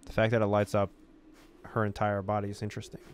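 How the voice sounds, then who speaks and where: A man's voice: fairly low pitch, completely flat delivery, fast talker, slight background noise. A man hosting a let's-play, reading story text expressively and dropping in casual asides. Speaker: gaming video host.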